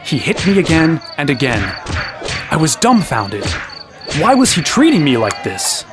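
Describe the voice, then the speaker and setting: A man's voice: fairly high pitch, wildly swooping pitch, a little slow, music in the background. An actor playing an earnest young male fighter, telling his own story as narrator in a fantasy audio drama. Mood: earnest, recounting. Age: young adult. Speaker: first-person narrator.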